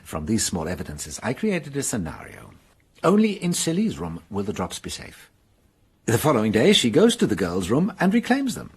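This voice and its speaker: An actor playing a shrewd detective in a radio drama, explaining a case in a measured, amused manner. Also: male voice, on the low side, wildly swooping pitch, husky, exaggerated voice. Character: shrewd French police detective, Inspector Hanaud.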